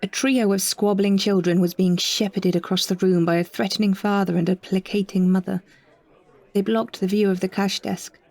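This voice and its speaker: A woman reading a first-person literary story in a measured, descriptive way. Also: medium pitch, dark tone. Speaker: narrator and performer of a short story.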